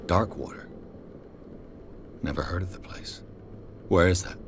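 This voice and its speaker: Casting: terse, jaded private eye, extremely low register, video game drama, faint noise behind. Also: male voice, a little slow. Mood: resigned, brooding. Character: jaded male private detective, curt and world-weary.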